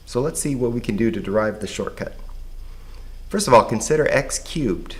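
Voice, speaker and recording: A man with a hoarse voice, patiently explaining a math lesson step by step. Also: mid-range voice, expressive, moderate pace. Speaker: math teacher.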